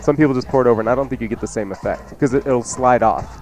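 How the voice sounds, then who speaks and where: A man's voice: medium pitch, racing, a little mellow, faint music behind. A man who is a chef and radio co-host, giving casual cooking advice.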